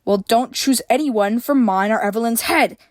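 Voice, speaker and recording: A woman's voice: fairly high pitch, moderate pace, no echo, clean studio sound, lightly acted. A woman voicing a fierce, protective mother who snaps and growls her lines. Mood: angry. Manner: sharp, snapping, loud.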